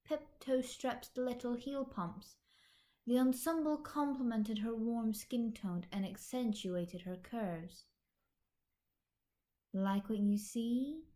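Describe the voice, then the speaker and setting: A woman with a fairly high voice reads a story aloud. She is an amateur narrator delivering scripted fan fiction narration. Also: darkish tone.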